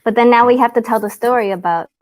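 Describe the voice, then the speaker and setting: A woman's voice: fairly high pitch, fast talker, dry recording. A woman whose first language is Cantonese, chatting casually as a guest on a live video call, giggly and full of fillers. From Canada.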